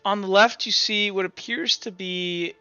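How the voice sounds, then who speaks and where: A man's voice: high voice, fairly even intonation, a little slow, sharp tone, clean tone, no room sound, highs cut off, faint music behind. A man who narrates as game master, describing scenes in a loose, rambling way full of "like".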